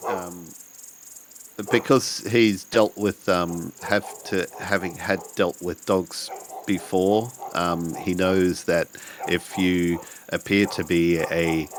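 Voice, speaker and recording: Low-voiced man playing a tabletop game. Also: fairly even intonation, takes it slowly, a little hiss or hum. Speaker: player in a tabletop roleplaying game. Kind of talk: casual improvised narration of own character.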